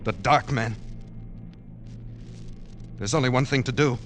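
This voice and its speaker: Man playing desperate young poet on old radio drama, slow grave delivery, music behind him. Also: medium pitch, fairly bright tone, close to an everyday voice. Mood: hushed dread. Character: desperate young male poet writing a last journal entry. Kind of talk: 1940s radio acting.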